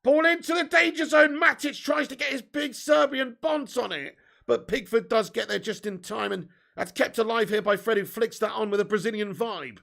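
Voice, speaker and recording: A man with a hoarse, fading voice, giving sweary, excitable live fan commentary while reading chat. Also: extremely high pitch, normal speed, few pauses. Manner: swearing, excitable, shouts at chances. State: losing his voice. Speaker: fan watch-along streamer.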